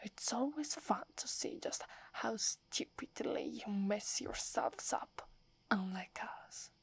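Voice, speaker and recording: A woman with an Italian accent voicing a proud, wary young werewolf girl in a soft, breathy whispered roleplay, haughty but stammering when flustered. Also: mid-range voice, expressive, slow, exaggerated voice. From Italy.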